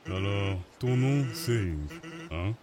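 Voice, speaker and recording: A man playing a laid-back, wry skeleton in an animated fan dub, speaking casually. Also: lowish voice, slow, a crowd in the background. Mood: wry reassurance. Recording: voice over clear music.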